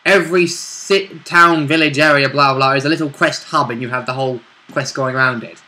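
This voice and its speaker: A man with a bright, sharp voice at a medium pitch. He chats casually, as a player talking over a game with a friend. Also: brisk. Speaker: player and co-host in a role-play vidcast. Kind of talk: casual chat.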